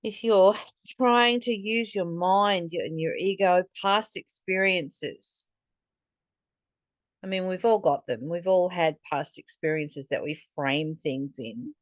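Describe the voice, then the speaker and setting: Female spiritual teacher, unhurried and earnest, reflective reading with commentary, close dry sound. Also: on the low side, no room sound. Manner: deliberate, earnest, posing rhetorical questions to the listener.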